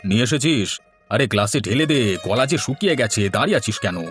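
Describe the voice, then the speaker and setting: A man's voice: medium pitch, expressive, a little fast, faint music behind, close to an everyday voice. A man voicing a bossy, lazy eldest brother in a cartoon story, commanding and scheming as he orders his youngest brother about.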